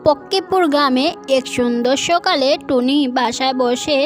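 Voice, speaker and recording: Very high-voiced woman narrating a children's story. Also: moderate pace, faint music behind.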